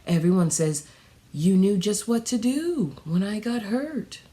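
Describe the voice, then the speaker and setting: A woman's voice: mid-range voice, sing-song, a little slow, warm tone. A woman voicing a kindly, patient pig schoolteacher in a children's storybook, earnest and reassuring, explaining things carefully. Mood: gentle, reassuring.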